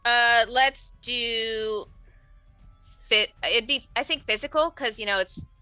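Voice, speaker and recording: Female player, bright and fairly high voice, casual, exclamatory game chat, faint music behind. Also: slow, smooth, dry recording, rough recording. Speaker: player in a tabletop roleplaying game.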